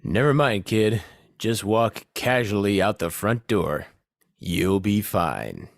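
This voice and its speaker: A man's voice: lowish voice, animated, slow pace, vocal fry, heavily acted. A man playing a dim, easily offended, hapless rescuer in a comic audio play, hesitant and exasperated. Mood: reassuring.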